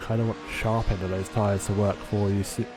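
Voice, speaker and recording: A man's voice: on the low side, flat delivery, fairly quick, over music. A man giving live sim racing commentary in a chatty, casual way.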